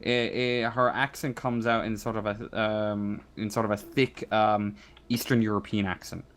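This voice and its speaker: A man running a tabletop roleplaying game as game master, improvising narration in a halting way, searching for words. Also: lowish voice, steady tone, fairly bright tone.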